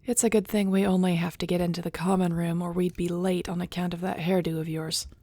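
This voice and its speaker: Give this to a woman acting a young werewolf heroine in an intimate audio roleplay. She is affectionate and teasing but full of nerves, with a fairly flat, even pitch. The sound is dry and close. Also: a little fast, no room sound, lightly acted. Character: nervous young female werewolf shifter becoming clan Alpha, affectionate, teasing.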